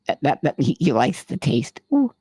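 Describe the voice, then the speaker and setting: A man's voice: a little high, sing-song, moderate pace, mellow, husky, no echo. A man co-hosting a podcast, telling a long rambling anecdote in casual chat and swearing freely. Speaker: podcast co-host. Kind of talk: casual storytelling.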